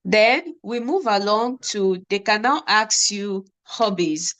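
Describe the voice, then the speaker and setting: Very slow-talking Nigerian woman teacher. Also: medium pitch, fairly bright tone. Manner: slow, repeats example phrases word by word. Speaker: German teacher. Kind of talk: slow classroom explanation.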